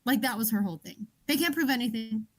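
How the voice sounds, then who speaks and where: Chicago lady podcast co-host, kinda high voice, just chatting casually. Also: fast talker. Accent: Chicago American. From Chicago area, United States. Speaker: book club podcast co-host. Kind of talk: casual chat.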